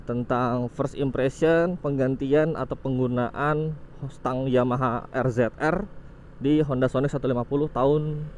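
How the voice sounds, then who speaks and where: A young man, giving casual motovlog commentary while riding, relaxed and informal, often saying "guys". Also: mid-range voice, measured pace, a little hiss or hum. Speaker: motovlog host. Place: on a motorcycle on a city road outdoors.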